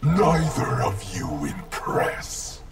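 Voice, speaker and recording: A man voicing a grim, proud wraith ninja in a video game, gravelly and slowly threatening. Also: mid-range voice, very animated, full character voice. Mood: cold menace. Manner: slow, deliberate, threatening delivery. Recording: music playing behind.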